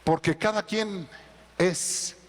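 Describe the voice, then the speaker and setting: A middle-aged male pastor preaching a sermon, building to loud emphatic peaks. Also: high-pitched, takes it slowly, big-room sound. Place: church.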